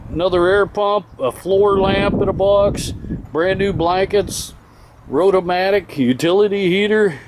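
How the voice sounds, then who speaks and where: A man's voice: high voice, a little mellow. A man giving a casual, folksy off-the-cuff walkthrough of auction items, listing them in short phrases outdoors into a handheld camera. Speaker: auction house presenter. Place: outdoors in an open-air auction yard. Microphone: handheld camera held by the speaker. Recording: outdoor ambient noise.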